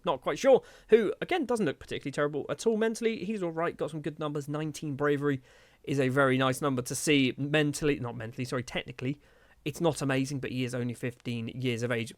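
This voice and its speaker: A man's voice: medium pitch, no echo. A man giving casual video game commentary as a host, chatty and rambling, often questioning himself as he goes.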